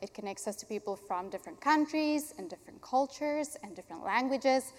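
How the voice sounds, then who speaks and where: A young adult woman pastor from Africa, an Afrikaans speaker, leading a baptism prayer in an earnest, warm way. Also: on the high side, expressive, fairly quick. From South Africa. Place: church sanctuary. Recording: long church hall reverb.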